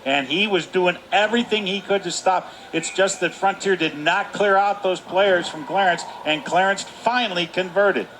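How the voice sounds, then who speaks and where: Fairly high American man analyzing hockey. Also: moderate pace, few pauses, a bit bright, a little hiss or hum, low-quality recording. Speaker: color commentator. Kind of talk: live sports analysis.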